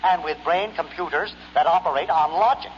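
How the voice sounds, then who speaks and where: A man's voice: on the high side, fairly quick. An actor playing an eager, logical, loyal male robot with a reverberant voice in a 1950s radio drama. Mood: eager, confident. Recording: muffled noisy radio recording, long reverb.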